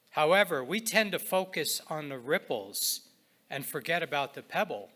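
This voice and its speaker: Male devotional speaker, bright and forceful tone, unhurried reverent phrasing with deliberate pauses, for service readings and prayer. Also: fairly high pitch. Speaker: spiritual practitioner leading readings and prayer at a service. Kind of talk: inspirational readings and spoken prayer.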